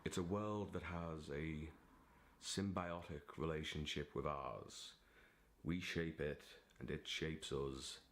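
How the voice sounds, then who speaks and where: A man's voice: a bass voice, steady tone, takes it slowly, gravelly, fairly bright tone. A man talking casually to camera as a vlogger and writer, in a theatrical, giddy, digressive manner. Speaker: vlogger and aspiring novelist.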